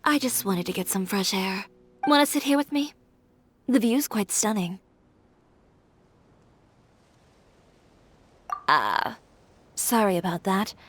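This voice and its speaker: A woman's voice: medium pitch, expressive, moderate pace, slightly rough. A woman playing a proud, candid young fashion designer in an anime video game, composed and assertive with a wry edge. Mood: calm, wistful. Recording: music playing behind the voice.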